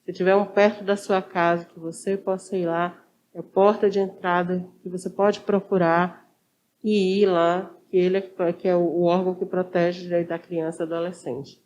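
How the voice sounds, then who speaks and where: A woman's voice: lowish voice, little pitch movement, moderate pace, a little mellow, a little hiss or hum, rough recording. A woman with a Brazilian accent answering as an interview guest, conversational and didactic, repeating her key points. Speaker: social worker, interview guest. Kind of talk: informal explanatory interview.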